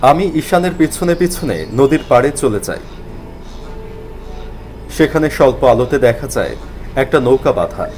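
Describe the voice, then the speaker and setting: A man tells a ghost story as scripted, dramatic narration. His mid-range voice moves in very lively contours. There is faint noise in the background. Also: medium pitch, measured pace, long pauses.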